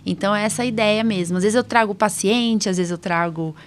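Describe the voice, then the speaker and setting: A woman's voice: mid-range voice, fairly quick, slight background noise. A woman with a Brazilian Portuguese accent hosting a podcast in casual, chatty conversation, often checking for agreement. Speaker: podcast host, allergist doctor.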